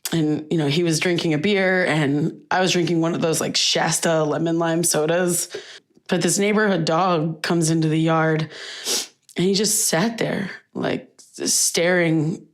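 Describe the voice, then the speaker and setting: An actress playing a young grieving daughter in a naturalistic audio drama, talking in a halting, conversational, wistful way. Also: female voice, low voice, fairly even intonation. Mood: fond reminiscing. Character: grieving daughter reminiscing fondly about her late father.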